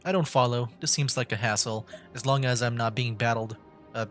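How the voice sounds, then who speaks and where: Bright-voiced young man casually streaming a game. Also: medium pitch, steady tone, a little fast. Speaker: livestreamer playing a game. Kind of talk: casual game commentary, reading dialogue choices aloud. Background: game music.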